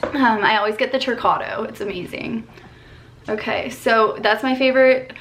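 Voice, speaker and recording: A woman's voice: on the high side, moderate pace, noisy background. Chatty young woman in a bedroom. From Colorado. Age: 20s. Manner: chatty, upbeat, lots of "like" and "um". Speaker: vlogger. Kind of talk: casual Q&A vlog. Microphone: camera at desk distance.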